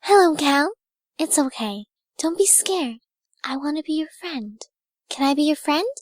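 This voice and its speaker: A woman voicing a gentle, kindly young female pegasus pony from a cartoon, soft, polite and soothing. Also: high voice, expressive, a little slow, no echo, clean studio sound.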